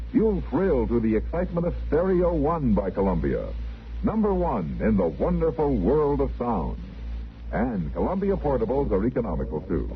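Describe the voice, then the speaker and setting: A man's voice: medium pitch, slight background noise. A man with an American accent reading a polished 1950s radio commercial as announcer. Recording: tinny narrow-band vintage radio, faint music.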